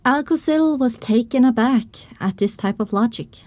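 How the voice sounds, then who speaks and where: A storybook-style first-person narrator played by a woman, a pony mare telling her adventure. Her voice sits a little high and is recorded close and dry, with measured, descriptive delivery. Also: darkish tone, no room sound, close to an everyday voice. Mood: narrating. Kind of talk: scripted audiobook narration.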